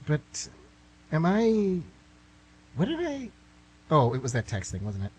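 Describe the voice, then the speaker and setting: A man's voice: fairly high pitch, huge pitch swings, measured pace, darkish tone, husky, full character voice. An actor playing a nervous, bewildered writer in his thirties in a comic radio play, hesitant and stammering. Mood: anxious. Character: nervous, bewildered thirty-three-year-old male writer in hell. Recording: noisy amateur recording with hum.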